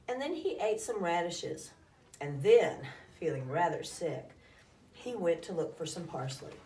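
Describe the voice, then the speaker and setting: A woman's voice: fairly low pitch, dark tone, slight background noise. Echoey, distant woman reading a storybook. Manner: dramatic, acts out calls and sound effects. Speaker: storyteller reading a children's book aloud. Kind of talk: expressive read-aloud storytelling. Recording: echoey room, distant microphone.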